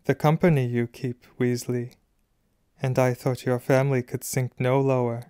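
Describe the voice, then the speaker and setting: A man's voice: medium pitch, flat delivery, moderate pace, warm tone, clean tone, no room sound, studio quality, natural voice. A man voicing a malicious upper-class wizard father in a storybook, drawling and mock-polite with cold contempt. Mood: contemptuous.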